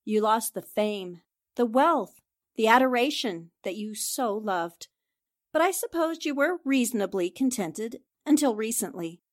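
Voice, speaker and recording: A woman playing a sharp, confident newspaper reporter in a 1940s-style radio drama, speaking in an assertive, accusing way. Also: fairly high pitch, animated, a little slow.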